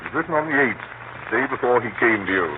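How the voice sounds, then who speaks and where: An actor playing a hearty, shrewd senior official in a 1930s radio drama. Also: male voice, mid-range voice, lively intonation, quick pace, natural voice. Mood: shrewd, businesslike. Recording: scratchy, tinny 1930s radio broadcast.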